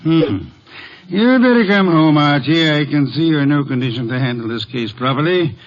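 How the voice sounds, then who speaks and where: Actor as fussy high-voiced radio detective, muffled. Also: male voice, animated, normal speed, natural voice. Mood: dry, sardonic. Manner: grumbling, wordy, dryly sarcastic. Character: portly middle-aged master detective, fussy and sardonic. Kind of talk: old-time American radio drama acting. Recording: muffled vintage radio recording.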